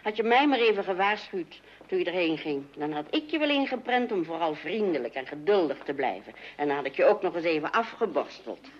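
Practical mother, middle-aged, low pitch, animated, gently reassuring, radio play, dull recording. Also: a little fast, hardly any pauses. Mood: chiding. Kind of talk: old Dutch radio play acting.

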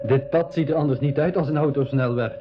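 An actor playing an alert, eager younger brother in a school radio drama, with an urgent manner. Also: male voice, on the high side, fairly quick, over loud music, close to an everyday voice. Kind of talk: 1980s Dutch-language school radio drama acting. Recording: dull band-limited 1980s radio recording.

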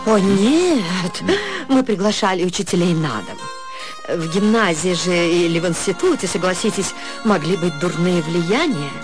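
A woman's voice: fairly low pitch, measured pace, lightly acted. An actress playing a genteel, possessive middle-aged mother in a radio play. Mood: genteel, firm. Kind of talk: radio play acting of a classic story. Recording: music behind the voice.

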